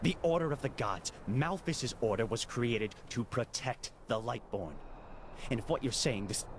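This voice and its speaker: An actor playing a haughty, indignant novice of a holy order in a fantasy game, bitter and zealous. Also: male voice, mid-range voice, normal speed, a little hiss or hum, natural voice. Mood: distraught.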